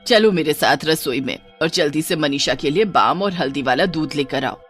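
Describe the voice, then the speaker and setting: A woman's voice: low voice, lively intonation, moderate pace, soft background music, voice pushed down. A woman voicing a bossy middle-aged mother-in-law in a horror story, scolding and giving orders. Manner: scolding, commanding.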